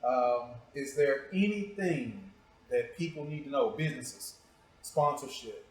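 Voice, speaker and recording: A man's voice: medium pitch, lively intonation, a little slow. Teasing American man hosting, echoey room. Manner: informal, teasing, playful. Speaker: show host interviewing a guest. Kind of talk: casual, joking interview chat.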